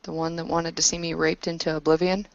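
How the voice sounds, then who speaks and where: Lower-voiced woman roleplaying, dry dull audio. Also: flat delivery, a little fast, creaky, no echo. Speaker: tabletop roleplay player. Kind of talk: in-character roleplay dialogue with narration.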